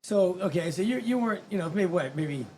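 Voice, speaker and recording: A man's voice: sits high, lively intonation, fairly quick, darkish tone. A man hosting a casual interview podcast, speaking in a blunt, slangy, informal way. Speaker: podcast host. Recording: noticeable background noise.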